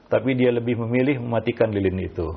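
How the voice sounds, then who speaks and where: A man with a gravelly, creaky voice teaches religion in answer to questions. He speaks haltingly, often tagging his phrases and slipping into Arabic quotations. The sound is muffled and lo-fi. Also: medium pitch. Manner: halting, repeats filler tags, quotes Arabic phrases. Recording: muffled, dull lo-fi audio.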